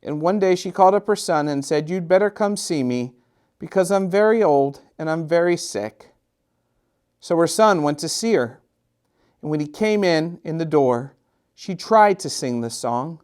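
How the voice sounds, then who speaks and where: A middle-aged man reads a picture book to children expressively, drawing out repeated phrases with gentle, dramatic emphasis. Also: a little high, expressive, clean tone. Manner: gentle, drawn-out, sing-song repetitions with dramatic emphasis. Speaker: storytime host and children's author.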